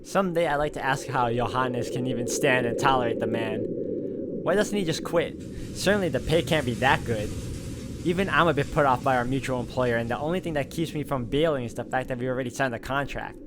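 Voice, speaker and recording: A man reading a visual novel's text aloud with casual asides, steady and plain. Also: medium pitch, fairly quick, background noise. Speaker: gameplay narrator. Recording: voice over loud game music.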